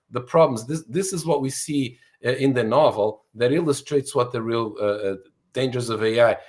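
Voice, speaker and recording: Earnest man from Portugal explaining ideas. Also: mid-range voice. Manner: earnest, explanatory, fluent with some restarts. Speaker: author and guest. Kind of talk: interview answers.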